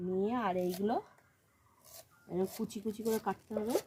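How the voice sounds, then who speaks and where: A woman's voice: medium pitch, steady tone, moderate pace. A woman from Bengal hosts a village home-cooking video, narrating each step in a plain, instructional way with repeated phrasing. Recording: loud cooking noise, sizzling and stirring.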